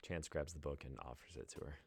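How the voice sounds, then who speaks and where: A man's voice: extremely low pitch, fast, a little mellow, breathy edge. A man in his twenties, a playwright chatting casually and reading out stage directions. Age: 20s.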